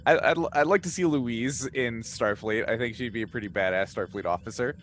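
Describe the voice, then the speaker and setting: Mid-pitched man chatting over slight noise. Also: brisk, a bit bright. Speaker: cast member and panelist. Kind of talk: casual chat.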